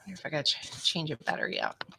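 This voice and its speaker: A woman's voice: sits low, huge pitch swings, rapid-fire, creaky, sharp tone, a little hiss or hum, rough recording. Chatty woman with a stuffy nose. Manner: chatty, rambling, says "y'all" often. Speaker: vlogger hosting a live stream. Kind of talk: casual live-stream chat to viewers. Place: at home at her computer. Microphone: small webcam.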